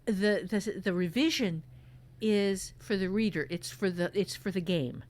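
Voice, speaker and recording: A woman's voice: mid-range voice, measured pace, darkish tone. Woman giving emphatic, informal writing advice. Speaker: podcast host and fiction-writing teacher.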